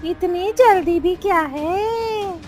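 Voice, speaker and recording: A woman acts out a sly witch wife who thirsts for blood. The voice is extremely high and theatrical, demanding in the manner of a horror cartoon, with soft music behind it. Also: slow. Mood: sly, taunting. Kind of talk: Hindi horror cartoon dialogue.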